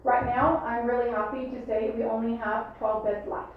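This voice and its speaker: A woman giving a spoken report at a board meeting as a staff member, with halting, hesitant phrasing. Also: on the high side, flat delivery. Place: meeting room, distant microphone. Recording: dull echoey far-off room, faint noise.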